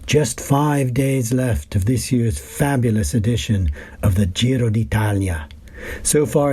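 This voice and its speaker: A man's voice: mid-range voice, dark tone, husky. A man reading his own written reports as scripted narration, in descriptive, literary phrasing. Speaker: wine and travel writer reading his reports.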